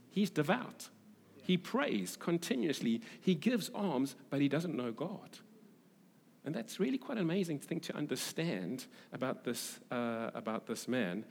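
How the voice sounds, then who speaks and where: A man from Durban with a South African English accent, preaching as a pastor in a conversational, halting way with repeated phrases for emphasis. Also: fairly high pitch, darkish tone. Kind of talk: sermon with scripture reading and conversational asides. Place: large reverberant hall, preaching to a congregation. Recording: echoey hall with long reverb.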